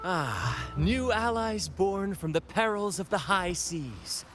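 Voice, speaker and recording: A flamboyant, high-voiced swashbuckling captain. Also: male voice, very animated, slow pace, a bit bright, faint music behind, heavily acted. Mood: courtly, thoughtful. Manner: theatrical, grandiose, courtly old-fashioned phrasing. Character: flamboyant swashbuckling ship captain leading a merry theatrical troupe. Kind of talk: video game character acting.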